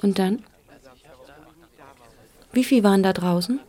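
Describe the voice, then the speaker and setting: A woman's voice: medium pitch, slow pace, dark tone, close to an everyday voice. A woman playing an insistent, protective mother who instructs and questions firmly in a naturalistic radio drama. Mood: instructive. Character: insistent, protective, lecturing mother of a young boy.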